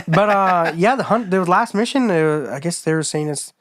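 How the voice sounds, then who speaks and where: A man co-hosting a podcast in casual chat, his voice hoarse from being sick, talking in a laid-back, rambling, slangy way. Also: sits high, lively intonation, measured pace, a bit bright, no room sound, clean studio sound. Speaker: podcast co-host.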